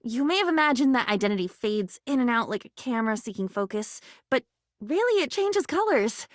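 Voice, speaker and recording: High pitched dreamy girlish game character, swoops up and down, earnest n whimsical, kinda flowery and theatrical. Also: sing-song, a little fast, dry recording, studio quality. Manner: whimsical, theatrical, formal flowery diction mixed with chatty asides. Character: female living diary, young, earnest, dreamy, newly self-aware. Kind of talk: video game character voice acting.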